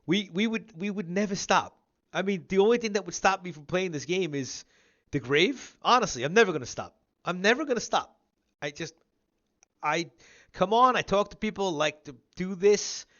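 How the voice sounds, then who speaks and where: A man's voice: sits high, lively intonation, moderate pace, fairly bright tone, muffled recording. A man of about fifty hosting a casual gaming interview, talking in a rambling, repetitive, emphatic way. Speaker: show host interviewing a guest.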